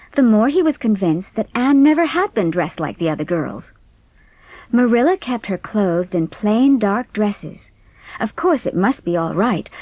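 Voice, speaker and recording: A woman reads storybook narration at a middle pitch. Her delivery is expressive and lively in melody, and the sound is dry, free of room echo. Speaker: audiobook narrator.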